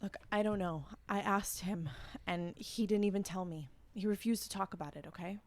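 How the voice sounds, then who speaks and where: A woman's voice: medium pitch, moderate pace. A woman playing in a tabletop game, sounding petulant and evasive. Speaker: player.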